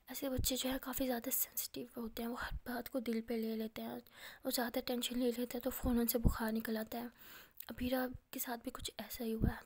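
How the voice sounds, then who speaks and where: A woman retells a family drama in a soft, steady whisper. Her voice sits high, and she narrates close and hushed from start to finish. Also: breathy edge. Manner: whispering throughout. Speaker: storyteller.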